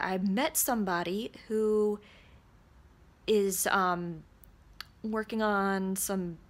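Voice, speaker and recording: A young woman with a creaky voice, chatting casually and candidly to camera in a rambling vlog, recorded at arm's length in a small room. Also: medium pitch. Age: young adult. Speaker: vlogger. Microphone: phone or camera at arm's length.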